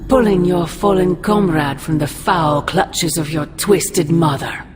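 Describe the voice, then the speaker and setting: A woman's voice: on the low side, unhurried, over music, natural voice. A woman playing a menacing, imperious ancient sorceress mother in a video game, with a sardonic, theatrical delivery. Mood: mocking. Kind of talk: dramatic video game acting.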